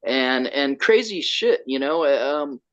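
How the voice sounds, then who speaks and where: A man running a conference, talking excitedly and rambling with lots of restarts over a video call. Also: mid-range voice, measured pace. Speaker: conference organizer. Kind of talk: casual video call chat. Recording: dull lo-fi call audio.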